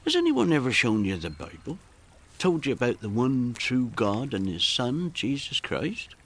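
An actor playing a kindly, earnest Puritan reverend in a radio drama, hesitant and gently preachy. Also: male voice, mid-range voice, wildly swooping pitch, moderate pace, full character voice. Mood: careful, kindly. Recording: faint music behind. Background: faint music.

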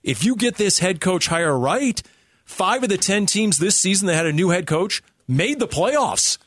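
A man's voice: high voice, sing-song, moderate pace, a bit bright, breathy edge, no echo, clean studio sound. A man hosting a sports radio show, putting points and questions to his guests in conversational sports talk.

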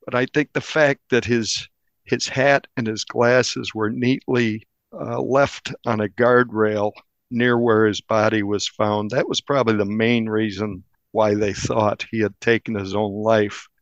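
A man's voice: fairly low pitch, steady tone, measured pace, dry recording. Slightly muffled male reporter recounting a case. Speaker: investigative newspaper reporter. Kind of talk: interview, recounting a crime case.

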